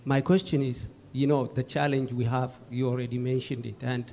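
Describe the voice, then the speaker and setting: A man with an East African accent speaks as a pastor in the audience. His pitch is medium and stays very flat, with little rise or fall. Room noise is audible behind him. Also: moderate pace. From Ethiopia or Eritrea. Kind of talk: audience question at a lecture. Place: indoor hall. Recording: clear background noise, room ambience.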